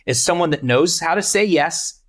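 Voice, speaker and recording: A man's voice: on the high side, animated, moderate pace, fairly bright tone. A man from Texas giving conversational advice on a podcast, voicing imagined remarks as examples. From Texas, United States. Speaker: podcast panelist, church leadership coach.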